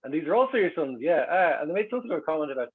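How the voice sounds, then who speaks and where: A man's voice: fairly high pitch, big pitch swings, racing, breathy edge, narrow, tinny audio. A middle-aged man with an Irish accent telling anecdotes in a casual, conversational way. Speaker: guest.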